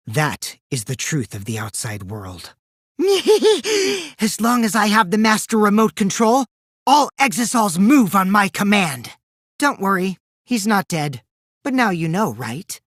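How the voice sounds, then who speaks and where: A man's voice: fairly high pitch, a bit bright, husky, no echo, clean studio sound, full character voice. A man voicing a mischievous, taunting teenage boy schemer in a video game, with a light boyish voice and a theatrical, teasing delivery. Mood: gloating, megalomaniac. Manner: theatrical, teasing, swinging between sing-song and cold. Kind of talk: animated video game dub acting.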